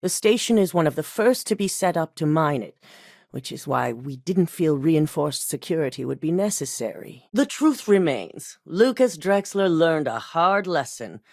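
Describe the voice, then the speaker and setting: An icy corporate exec in a game, calm and businesslike, lowish voice with lots of lift and dip, dry studio sound. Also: female voice, dry recording. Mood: measured. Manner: measured, cold and businesslike. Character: cool, calculating female corporate executive. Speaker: game character. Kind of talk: video game voice acting.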